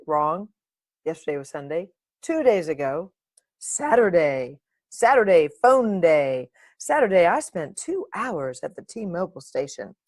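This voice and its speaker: Woman, podcast host, playful, lively, uninhibited chat, dry close sound. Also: lowish voice, no room sound. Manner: playful, animated, sings and makes silly sounds; uninhibited, swears.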